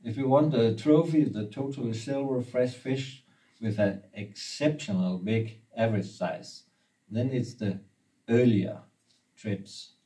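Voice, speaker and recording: A fishing guide in his forties whose first language is Danish, explaining steadily with pauses, recorded indoors from a few feet away. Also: medium pitch, warm tone, recorded from a distance, low-quality recording. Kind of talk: casual interview. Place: indoor room with brick wall and river map.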